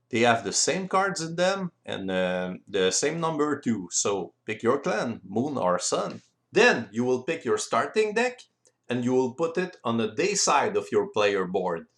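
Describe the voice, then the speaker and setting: A man with a French accent, a native French speaker, explaining board game rules in careful, deliberate non-native English as an instructional voice-over. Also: mid-range voice.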